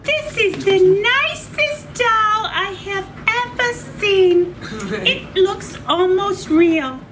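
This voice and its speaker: Woman putting on a high gushing lady shopper. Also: expressive, drawn-out words, crowd noise, full character voice, poor audio. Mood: delighted admiration.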